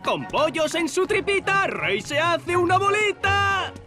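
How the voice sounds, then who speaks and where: An actor voicing a small, bossy, excitable demon king with a Castilian Spanish accent in a cartoon dub. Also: very high-pitched, unhurried, exaggerated voice. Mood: childish glee. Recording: music over the voice.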